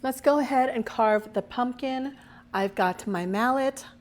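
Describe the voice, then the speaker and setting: A woman hosting her own cooking show, her voice a little raspy as she recovers from a cold, chatting through each step as she cooks. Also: fairly high pitch, unhurried. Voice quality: slightly raspy. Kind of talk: casual cooking demonstration.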